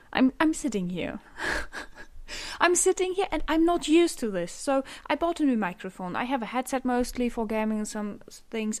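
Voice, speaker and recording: A young woman from Germany talks in German-accented English, hosting her own unscripted podcast. She speaks at an unhurried pace, drifting off on tangents and poking fun at herself. She is recorded at home on a condenser microphone. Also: on the high side. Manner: rambling, self-deprecating, laughs often. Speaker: podcast host. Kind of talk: unscripted solo podcast chat. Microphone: USB condenser microphone.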